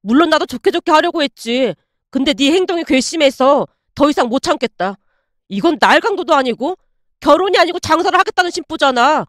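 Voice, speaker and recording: A woman's voice: sits high, lively intonation, a little fast, a bit bright, no room sound, clean studio sound. A woman voicing an exasperated, indignant young man in a dramatized story, blunt and scolding. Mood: fed up.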